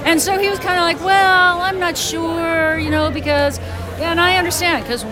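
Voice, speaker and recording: Animated lady chatting as a guest, high voice, crowd and music behind. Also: normal speed. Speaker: interview guest. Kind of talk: casual chat. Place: busy public venue at a convention. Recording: busy hall with music and crowd.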